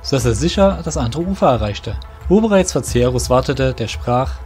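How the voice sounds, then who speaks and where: A man's voice: mid-range voice, expressive, brisk, darkish tone. A man narrating a scripted fantasy audio drama as storyteller. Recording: music under the voice.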